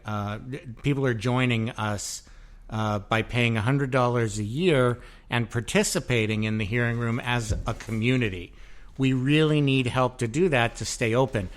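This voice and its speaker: A man hosts a podcast in a loose, rambling way, his talk full of fillers and restarts. His voice sits at an ordinary middle pitch for a man. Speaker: podcast host. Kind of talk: casual chat, promoting the venue.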